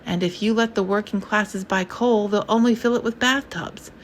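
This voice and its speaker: Woman doing a pompous older gentleman in alarm. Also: mid-range voice, normal speed, a little hiss or hum, natural voice.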